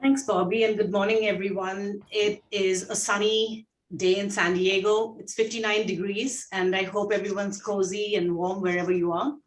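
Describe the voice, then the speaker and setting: Woman, Indian accent, gentle call co-host, pausing often; roomy, off-mic sound. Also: mid-range voice, level tone, recorded from a distance. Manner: gentle, many fillers and pauses. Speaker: guest co-host, shelter veterinary director. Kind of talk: casual video call chat. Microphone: video call.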